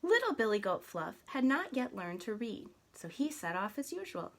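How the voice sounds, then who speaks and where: A woman reading a children's story aloud in an expressive, deliberate, dramatic way. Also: on the high side, slight background noise. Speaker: storybook reader.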